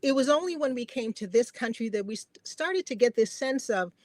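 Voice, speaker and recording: A woman's voice: fairly high pitch, animated, brisk, a little mellow, no room sound. A middle-aged woman from Guyana talking in an earnest, reflective way in a personal conversation, repeating phrases for emphasis. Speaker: podcast guest, family caregiver.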